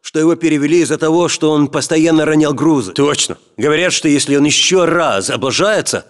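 An actor playing a teasing, irreverent Russian soldier in video game battle chatter, with a casual, needling manner. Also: male voice, fairly high pitch, brisk, lightly acted. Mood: gossipy.

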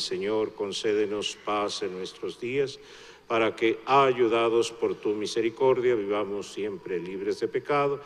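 A man, a priest slowly reciting the prayers of the Mass in a church. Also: lowish voice. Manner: slow solemn liturgical recitation. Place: large church. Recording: long church reverb, faint noise.

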